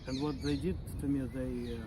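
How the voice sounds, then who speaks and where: A man's voice: medium pitch, little pitch movement, a little fast. A man in his forties with a Russian accent, speaking casually as an interview guest and stuttering with blocks and repeated sounds. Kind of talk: casual conversation. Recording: noisy outdoor recording with birdsong.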